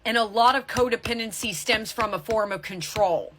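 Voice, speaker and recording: Woman, spiritual coach type, higher-pitched and sharp, forceful tough-love pep talk straight to viewers. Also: normal speed, a little hiss or hum. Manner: blunt, emphatic tough-love delivery, swears casually. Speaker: tarot reader and spiritual coach.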